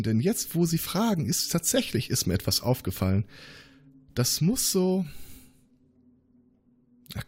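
A man's voice: fairly high pitch, a little mellow, slightly rough, full character voice. A man voicing a paranoid male scientist in a tabletop game, nervous and contradicting himself. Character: paranoid male scientist, conspiracy-minded, evasive. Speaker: player voicing a suspect.

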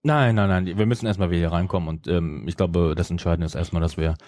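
A man's voice: sits low, quick pace, a little mellow, no echo. A man, chatting casually as a podcast co-host. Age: 40s. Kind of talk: casual chat about audio dramas.

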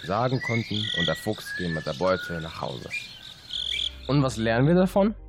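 A man reading a scripted fable as a radio narrator, with clear noise behind him. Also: lowish voice, big pitch swings, normal speed, over loud music. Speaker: radio host and story narrator.

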